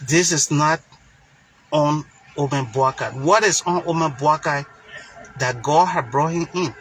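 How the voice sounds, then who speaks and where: A man's voice: on the high side, unhurried, a bit bright, a little hiss or hum, low-quality recording. A man from Liberia with a Liberian accent, giving an impassioned political monologue in an emphatic, preacher-like way, repeating phrases for stress. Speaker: political commentator.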